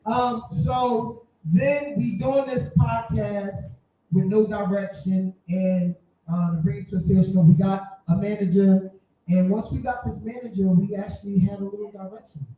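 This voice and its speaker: A man in his forties with a strikingly high voice tells stories in a boisterous, casual way, repeating phrases for effect. He sounds far from the mic in a very echoey room. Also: fairly even intonation, moderate pace, lo-fi sound. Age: 40s. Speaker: podcaster telling their story at a live event. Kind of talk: casual live storytelling to an audience.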